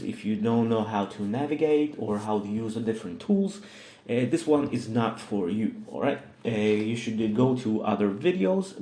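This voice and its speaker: A man with a Russian accent, hosting a software tutorial in a hesitant way, with many fillers and frequent "all right?" checks. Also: mid-range voice. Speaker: tutorial host. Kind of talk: screen-recorded software tutorial. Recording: echoey room.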